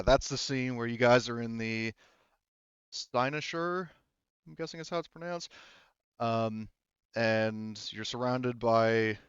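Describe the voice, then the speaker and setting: A man acting as game master, recapping and bantering casually in halting, rambling explanations. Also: mid-range voice, fairly bright tone, clean tone, no echo, highs cut off.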